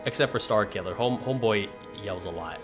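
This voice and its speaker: A relaxed man talks through a live game stream in a low, easy voice. He sits close to his desk mic in a small room, with faint game music behind him. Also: brisk. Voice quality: deep voice. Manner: relaxed, chatty, reads chat aloud. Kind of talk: casual live-stream chat. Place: small room facing a wall. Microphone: desk microphone, close.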